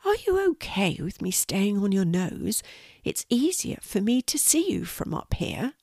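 A woman voicing a tiny, timid male spider in a children's storybook, gentle and sad, with a plaintive, tentative delivery. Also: medium pitch, big pitch swings, measured pace, dry recording, clean studio sound. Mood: grateful.

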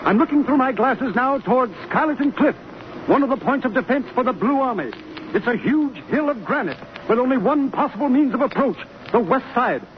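High-pitched vintage radio reporter. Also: male voice, expressive, brisk. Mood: dramatic, formal. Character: crisp, dramatic radio reporter describing army maneuvers. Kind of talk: 1940s radio news commentary. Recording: very noisy, muffled 1940s radio recording.

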